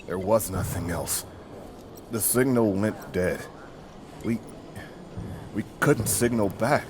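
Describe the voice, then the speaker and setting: An injured, distrustful colony miner in a game cutscene. The voice is low, halting and bitter, that of someone badly shaken. There is clear noise around it. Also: male voice. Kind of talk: video game cutscene acting.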